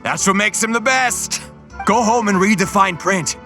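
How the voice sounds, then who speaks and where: An actor playing a sly, cocky mercenary debt collector in a video game, mocking and theatrical. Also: male voice, sits high, moderate pace, pushed and bright, soft background music. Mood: gloating, sly.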